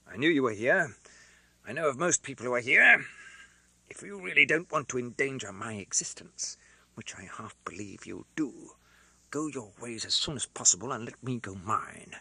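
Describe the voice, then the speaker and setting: A furtive man who speaks low and abrupt, always vexed and wary, as a male narrator plays him. His tone is bright and slightly husky. The recording is dry and close. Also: lively intonation, moderate pace, dry recording, full character voice.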